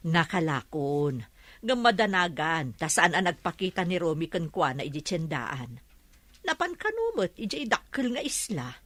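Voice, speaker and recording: A middle-aged woman with an Ilocano accent playing a gentle, worried village mother. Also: lowish voice, very animated, normal speed, dry recording. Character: anxious village mother of a missing son.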